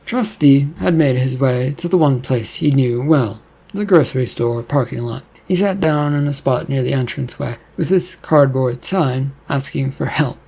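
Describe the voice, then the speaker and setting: A man's voice: mid-range voice, moderate pace, gravelly, a little mellow, husky, rough recording. A man reading scripted fiction as a narrator.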